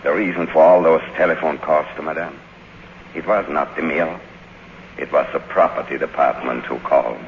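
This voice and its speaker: A very deep, solemn older butler. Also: male voice, vocal fry. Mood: grave. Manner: formal, measured short sentences. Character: solemn, devoted older butler guarding his mistress. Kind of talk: 1950s radio drama acting. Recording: muffled, hissy old radio broadcast, long reverb.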